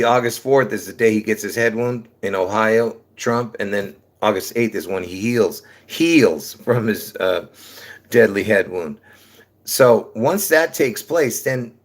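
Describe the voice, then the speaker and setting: Man, New York accent, livestream host, rambling monologue, repetitive, lots of fillers and restarts, middle pitch. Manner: repetitive, restarts often, many fillers, calls listeners folks.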